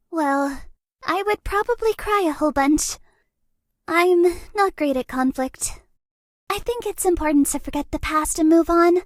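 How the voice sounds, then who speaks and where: A woman voicing a bubbly, shy, lovesick ghost girl in a dating game, with a girlish, breathy, cutesy voice. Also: a bit bright, dry recording, clean studio sound. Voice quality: very high, girlish voice. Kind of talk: anime-style dating game character lines.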